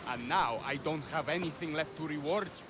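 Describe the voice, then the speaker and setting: A man's voice: fairly high pitch, fairly quick, noisy background, close to an everyday voice. An actor playing a proud, melodramatic, honour-obsessed Greek man in a video game cutscene, pompous and theatrical. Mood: grandly aggrieved.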